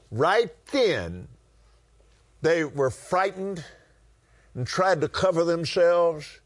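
Slow, lively West Texas preacher man. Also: medium pitch. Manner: drawn-out emphatic preaching cadence. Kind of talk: conversational Bible teaching.

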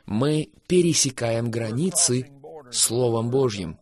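Fervent preaching man, lively pitch. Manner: fervent, emphatic, swings between loud bursts and soft drawn-out phrases. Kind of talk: preaching conversation.

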